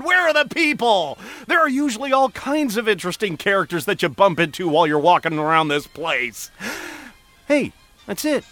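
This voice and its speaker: An actor playing an excitable, chatty, mild-mannered man stranded alone, thinking aloud theatrically in an audio drama. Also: very high voice, full character voice. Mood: exasperated, then playful. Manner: theatrical, animated, self-talking.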